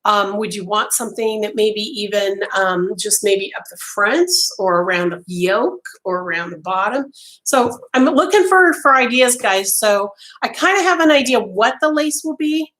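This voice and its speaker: A woman's voice: mid-range voice, animated, moderate pace, a bit bright, low-quality recording. A middle-aged woman losing her voice, chatting casually with live viewers from a small home craft room over a webcam. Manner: chatty, many ums and restarts, talks with her hands. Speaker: livestream host.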